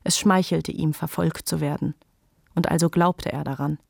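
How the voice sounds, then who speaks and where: A woman's voice: low-pitched, fairly even intonation, normal speed, vocal fry, a little mellow, husky, no room sound, clean studio sound. A woman reading scripted literary narration as the narrator of a radio play.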